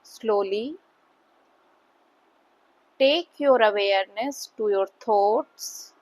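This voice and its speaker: A woman with an Indian accent leads a guided meditation as an instructor, speaking calmly in short instructions with long pauses. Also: medium pitch, low-quality recording.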